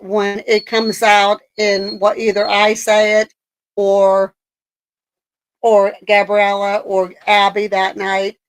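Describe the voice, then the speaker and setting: Raspy gravelly woman streamer, rambles w lots of ums, casual chat. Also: mid-range voice, rough recording. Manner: rambling, many ums and likes. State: raspy from a coughing spell. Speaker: streamer host.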